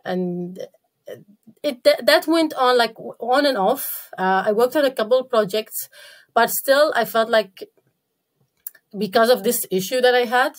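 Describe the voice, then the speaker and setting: A woman from Iraq, a podcast guest, talking casually and haltingly with many fillers and restarts. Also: fairly high pitch. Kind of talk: casual interview talk.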